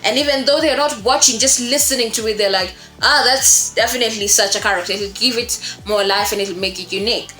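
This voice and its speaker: A woman instructor explaining a lesson in an expressive way, repeating words for emphasis. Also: on the high side, fairly quick, few pauses. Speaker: voice acting instructor. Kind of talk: explanatory lesson on creating character voices. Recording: roomy echo, faint music behind.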